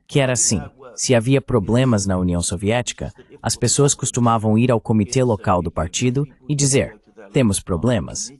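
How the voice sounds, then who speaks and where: A man speaking in a measured, explanatory way, as in a dubbed political discussion. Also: mid-range voice, darkish tone. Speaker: AI voice reading a translated political commentator.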